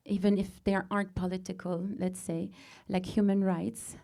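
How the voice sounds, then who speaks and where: A woman in her forties from Lebanon, a native Arabic speaker, hosting a show and opening it with an earnest, deliberate monologue full of pauses and restarts. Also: mid-range voice, creaky, mellow. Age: 40s. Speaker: host. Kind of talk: opening monologue to a live audience.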